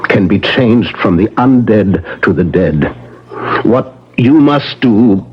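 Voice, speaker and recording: An actor playing a solemn, insistent professor who hunts vampires, speaking huskily with portentous pauses in 1970s radio drama style, on a muffled broadcast. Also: male voice, fairly low pitch, animated, husky, exaggerated voice. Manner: deliberate, portentous, emphatic repetitions and dramatic pauses.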